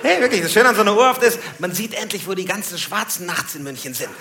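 A man's voice: high-pitched, animated, fast. A man from Munich telling a stand-up story, self-mocking and often laughing as he talks. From Munich, Germany. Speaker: stand-up comedian. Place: comedy stage. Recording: roomy stage recording with noise.

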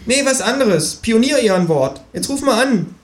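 A man's voice: very high-pitched, expressive, normal speed, pitched above the performer's own voice. A man doing a smug, cheeky kangaroo. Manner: smug, wheedling, giggly. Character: cheeky scheming talking kangaroo. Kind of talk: live comic reading. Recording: echoey live room.

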